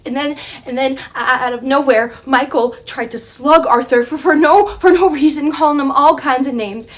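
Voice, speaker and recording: A young woman acting a nervous, naive religious high school girl in a dramatic monologue, hesitant and confiding. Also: normal speed, natural voice. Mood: shaken.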